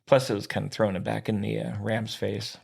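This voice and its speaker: A man co-hosting a sports podcast, bantering casually in an excitable, opinionated and slangy way. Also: fairly low pitch, a little fast, gravelly, husky.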